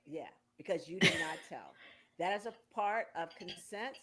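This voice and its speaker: A woman's voice: fairly low pitch, lively intonation, normal speed, fairly bright tone. A woman in her sixties hosting a casual talk show, quizzing her guests in a playful, game-like way. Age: 60s. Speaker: radio show host.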